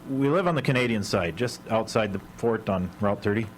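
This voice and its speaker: A man's voice: on the low side, lively intonation, fairly quick, lightly acted, poor audio. A man playing an earnest, aggrieved middle-aged husband in a live radio drama. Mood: defensive. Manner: earnest, defensive. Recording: live radio broadcast with hum.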